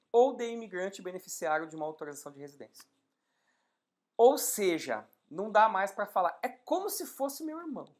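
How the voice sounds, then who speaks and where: A woman teaching an exam-prep law class, explaining step by step and posing rhetorical questions with lively emphasis. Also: lowish voice. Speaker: law instructor. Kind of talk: exam-prep legal lecture.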